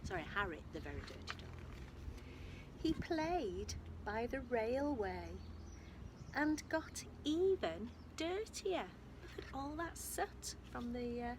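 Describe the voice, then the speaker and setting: A woman reads a children's story in a slightly high, very animated voice. She is expressive and emphatic, addressing young listeners directly. There is clear background noise on the recording. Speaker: infant school storyteller. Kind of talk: reading a picture book aloud to young children, with asides.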